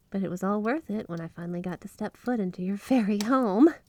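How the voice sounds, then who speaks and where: A woman performs a doting, obsessive yandere wife in an ASMR roleplay. Her mid-pitched voice sits close and dry on the mic. She is flirty and gushing, leaning slowly into key words as she talks to her beloved. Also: warm tone, no room sound. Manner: gushing, breathy giggles, drawn-out emphasis on words. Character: flirty, obsessively devoted yandere wife with magic snow powers. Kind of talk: intimate ASMR roleplay monologue to the listener.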